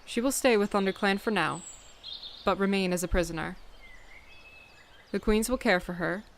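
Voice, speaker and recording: A woman voicing a measured, commanding female cat who leads her clan in an audiobook fan dub. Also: mid-range voice, a little hiss or hum, close to an everyday voice. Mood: stern. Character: authoritative mature female cat clan leader, measured and stern.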